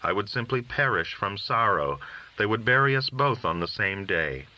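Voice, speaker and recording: A man's voice: fairly low pitch, lively intonation, moderate pace, bright, forceful tone, dull audio. A man voicing a devout, doting and anxious husband in a folk tale, earnest and plaintive. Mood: tender, insistent. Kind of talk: audiobook character dialogue.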